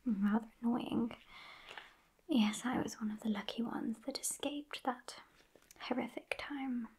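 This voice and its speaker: A woman in her twenties with a British accent playing a sassy, haughty witch in a breathy, unhurried ASMR whisper, close to the microphone. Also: a little high, darkish tone. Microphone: close microphone just off camera.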